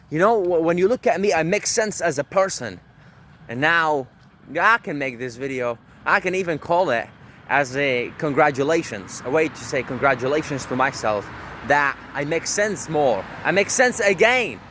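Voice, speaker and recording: A swaggering man, cursing and bragging as he rambles to his own camera. His voice sits at an ordinary middle pitch. He speaks out on a city street, with faint traffic humming behind him. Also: fairly bright tone. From Syria. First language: Arabic. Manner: brash, profane, boastful. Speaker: vlogger. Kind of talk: rambling self-talk monologue.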